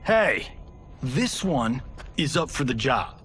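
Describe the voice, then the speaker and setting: A man's voice: medium pitch, very animated, takes it slowly, fairly bright tone, faint music behind. A man playing a cocky, wisecracking Hollywood action star in a video game, flippant and teasing. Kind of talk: cinematic video game drama acting.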